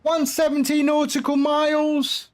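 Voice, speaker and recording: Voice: high voice, completely flat delivery, a little slow. A flight simulator streamer giving casual live commentary, patiently explaining and repeating phrases while chatting with viewers.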